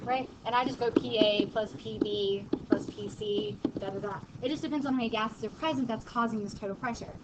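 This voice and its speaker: A woman teaching a high school chemistry class in a casual, joking way, quizzing her students as she goes. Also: fairly quick, hardly any pauses. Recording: muffled classroom recording, background noise.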